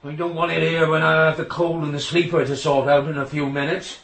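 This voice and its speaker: An actor playing a proud, touchy middle-aged signalman father in a radio drama, gruff and lecturing. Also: fairly high pitch, flat delivery, fairly quick, a bit bright. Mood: brisk, businesslike. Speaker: railway signalman in a radio play. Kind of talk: naturalistic radio drama acting.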